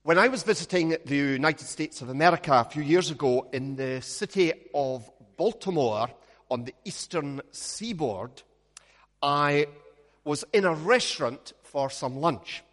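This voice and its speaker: A Scottish man, a minister, leads a prayer and then preaches, telling stories with a measured pace and gentle humor. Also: fairly high pitch, expressive, in a large hall. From Scotland. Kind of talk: sermon with opening prayer.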